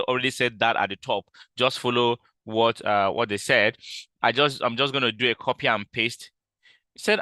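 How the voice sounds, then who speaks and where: A man leading a live online trading class, explaining step by step with lots of fillers, restarts and repeated points in a loud voice. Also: medium pitch, clean tone, no room sound. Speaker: trading instructor.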